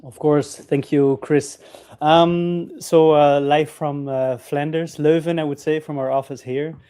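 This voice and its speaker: This is a man from the Netherlands, speaking from an office over a video call. His voice is dark and mellow, with little rise or fall in pitch. He tells his story earnestly in long, winding sentences. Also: flat delivery, unhurried, clean tone. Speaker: franchise partner, guest speaker. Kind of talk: webinar storytelling.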